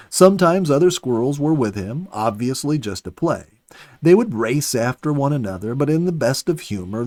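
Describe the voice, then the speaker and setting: A man reading a story aloud in an expressive, dramatic audiobook style, with emphatic swells and softer phrase endings. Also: medium pitch, dry recording. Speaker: story reader, host of a children's story program. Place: dry, close studio recording.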